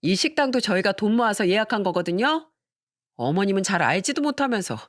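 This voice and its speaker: A woman's voice: mid-range voice, animated, brisk, dry recording, studio quality, natural voice. A woman voicing an insolent, defensive sister-in-law who protests indignantly in a family drama story.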